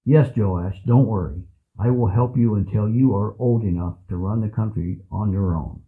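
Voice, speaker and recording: An actor playing a kindly, steadfast old priest in a scripted Bible audio drama, speaking in a measured, earnest way. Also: male voice, fairly low pitch, phone-quality sound, natural voice. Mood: gentle, instructive. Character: kindly, steadfast old male priest guiding a child king.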